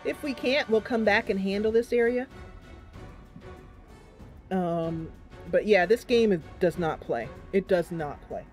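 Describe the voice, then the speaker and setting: A woman's voice: medium pitch, expressive, a little slow, long pauses, darkish tone, soft background music. A woman streaming a game, casually commentating live and thinking aloud as she chats with her viewers.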